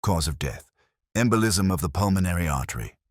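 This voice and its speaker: A man performs a commanding Victorian detective in an audiobook mystery. The voice is extremely deep yet moves expressively, recorded close and dry. The phrasing is deliberate, exact and coolly analytical. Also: animated, no room sound. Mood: grave, analytical. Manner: precise, deliberate, deductive.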